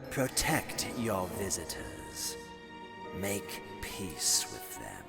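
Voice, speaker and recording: Solemn god for a game: low, creaky voice, slow and sweeping delivery over loud music. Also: male voice, huge pitch swings, exaggerated voice. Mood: solemn, commanding. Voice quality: deep, gravelly. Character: solemn commanding male deity speaking as a vision. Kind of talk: dramatic visual novel voice acting.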